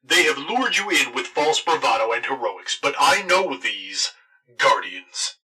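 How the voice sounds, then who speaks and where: A man's voice: mid-range voice, big pitch swings, a little slow, sharp tone, breathy edge, distant mic, exaggerated voice, rough recording. A man playing a stern, wrathful villain in an audio drama, formal and imperious. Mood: threatening.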